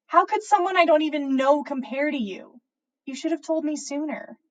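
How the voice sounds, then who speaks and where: A woman plays a lovestruck schoolgirl witch from a storybook. The girl speaks in a fairly high voice, earnest and wide-eyed, questioning things as if she is always a step behind. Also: normal speed, a little mellow, natural voice. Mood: fond.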